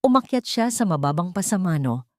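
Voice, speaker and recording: A woman's voice: fairly low pitch, sing-song, a little fast, a little mellow, no echo, clean studio sound. A woman narrator reading a dramatic scripted story in solemn, literary phrasing.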